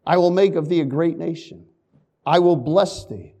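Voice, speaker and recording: A male preacher delivering a sermon in a fairly high voice. He reads scripture in old-fashioned phrasing, then drops to quiet, folksy asides before building back to loud emphasis. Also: moderate pace, lo-fi sound.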